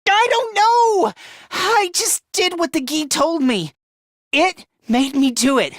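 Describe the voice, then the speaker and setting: Young male anime game fighter, very high voice, dry close recording, timid, frantic, stammering, self-loathing. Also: a bit bright, no echo, heavily acted. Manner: stammering, self-deprecating, easily panicked. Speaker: video game voice actor. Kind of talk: anime-style game dub acting.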